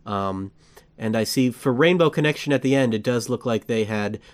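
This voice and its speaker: A man hosting a fan podcast, chatting casually, rambling and correcting himself as he gets excited. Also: medium pitch, moderate pace. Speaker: podcast host.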